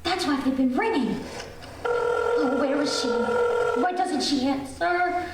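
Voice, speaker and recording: Woman acting a shrill, frantic invalid wife in echoey hall. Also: lively intonation, takes it slowly, heavily acted. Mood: panicky. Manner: demanding, agitated, repetitive, indignant. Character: nervous, bedridden invalid wife, imperious, panicky and shrill. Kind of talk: old-time radio suspense play acting on stage. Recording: very echoey hall, distant mic, faint noise.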